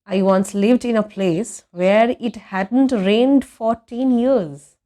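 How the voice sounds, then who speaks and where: A wise old man from a storybook, acted out by a woman reader. He speaks slowly and deliberately, with calm, patient authority. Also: medium pitch, close to an everyday voice. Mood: sage, patient.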